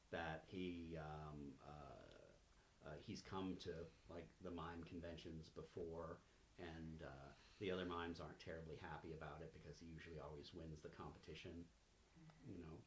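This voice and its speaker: A man narrating a tabletop roleplaying game as game master, feeling his way through in a halting delivery. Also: low-pitched. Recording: roomy echo, faint-to-clear noise.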